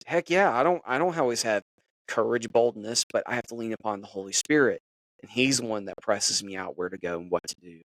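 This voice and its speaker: A man's voice: on the low side, a little fast, a bit bright, no room sound, rough recording. A man hosting a podcast, moving from sports chat into a devotional message in a loose, conversational way with plenty of fillers. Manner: conversational, preachy, many fillers. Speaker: podcast host. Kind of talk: casual devotional podcast talk.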